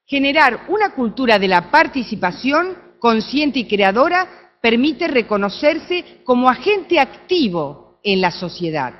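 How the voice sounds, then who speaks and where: A woman with an Argentine accent giving an academic talk at a conference, often checking in with her audience through quick tag questions. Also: fairly high pitch, highs cut off. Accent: Argentine Spanish. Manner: animated, with frequent tag questions. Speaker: conference speaker. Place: conference room.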